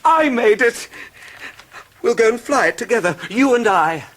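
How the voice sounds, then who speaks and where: An actor playing a gentle, childlike, eccentric English gentleman in a radio drama, kindly and dreamy. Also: very high voice, expressive, normal speed. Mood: gleeful, dreamy. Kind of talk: 1990s British radio drama acting.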